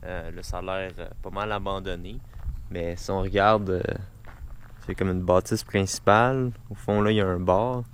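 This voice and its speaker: A man's voice: deep voice, steady tone, normal speed, clear, resonant tone. A man with a Quebec French accent narrating an informal travel vlog in a colloquial, unscripted, hesitant way, on noisy amateur audio. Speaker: travel vlogger.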